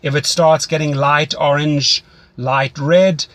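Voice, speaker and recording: A man's voice: fairly high pitch, fairly even intonation, takes it slowly, fairly bright tone. Man coaching in a conversational way. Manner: instructive, repeats phrases for emphasis. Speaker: rideshare driving coach. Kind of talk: conversational phone mentoring.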